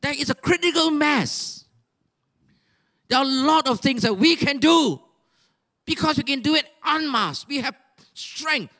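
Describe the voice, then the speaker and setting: Exhorting older Malaysian pastor, high-pitched. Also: male voice. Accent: Malaysian English. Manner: animated, exhorting, rhetorical questions, bursts of shouting. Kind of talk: sermon. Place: large church stage. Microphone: handheld mic.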